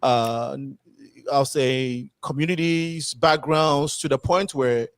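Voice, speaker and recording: A man's voice: medium pitch, slow pace, clear, resonant tone, no echo. Man hosting a panel, dry sound. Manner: long rambling questions with fillers. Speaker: talk show host. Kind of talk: panel discussion moderating.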